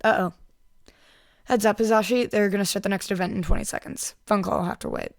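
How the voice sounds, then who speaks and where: A woman voicing a dry, formal male teacher hero with a terse, deadpan manner, in an audio fan story. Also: mid-range voice, fast, gravelly, dry recording, natural voice. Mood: curt.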